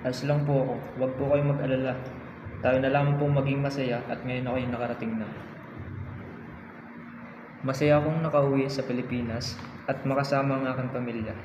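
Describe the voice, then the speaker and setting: A man's voice: medium pitch, lightly acted. A man playing a dutiful, earnest grown son in an amateur radio drama, reciting politely and formally. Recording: echoey hall, dull sound, clear background noise.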